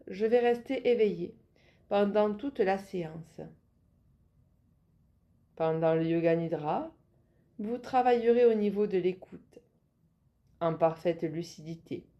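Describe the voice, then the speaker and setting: A woman leading a guided yoga nidra relaxation as an instructor, giving calm, measured instructions. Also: fairly low pitch, lo-fi sound.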